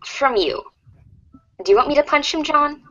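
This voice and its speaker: A woman's voice: sits high, animated, normal speed, sharp tone, lo-fi sound, a little hiss or hum. A woman voicing a playful, sly troll girl in an amateur reader's theater, teasing and confident.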